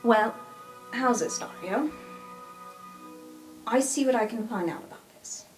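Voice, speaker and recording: A woman's voice: fairly high pitch, measured pace. A woman playing in an improvised tabletop roleplay, speaking casually and wryly in character. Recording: faint music under the voice.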